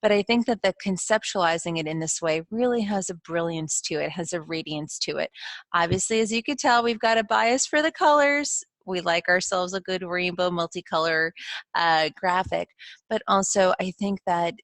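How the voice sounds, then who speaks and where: A woman's voice: lowish voice, normal speed, a bit bright, no echo. A middle-aged woman from Ukraine, a native Russian speaker, giving an earnest, informal lesson to camera, often restarting mid-phrase and putting questions to the viewer. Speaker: CEO and founder of a positive psychology school. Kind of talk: informal educational talk to camera.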